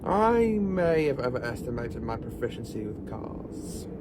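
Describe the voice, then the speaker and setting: A man's voice: mid-range voice, big pitch swings, measured pace, noisy background. A man playing an eccentric, curious time-travelling professor in an audio drama, wry and musing. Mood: wry, practical. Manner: wry, musing, British idiom.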